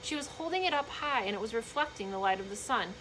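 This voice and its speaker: A woman reading a children's book aloud in an expressive, emphatic storytelling manner, with short explanatory asides for young listeners. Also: fairly high pitch, quick pace, a little hiss or hum, low-quality recording.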